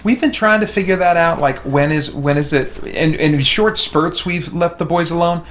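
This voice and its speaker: A middle-aged man rambling casually in a halting way, thinking aloud as he hosts a game stream. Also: on the high side, a bit bright, slight background noise. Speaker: live stream host. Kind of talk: casual chat while playing a game.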